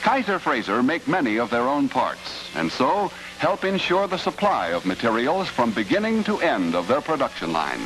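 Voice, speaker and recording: A man's voice: mid-range voice, very animated, moderate pace, few pauses, low-quality recording. A man narrating a mid-century promotional film in a scripted announcer style. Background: music.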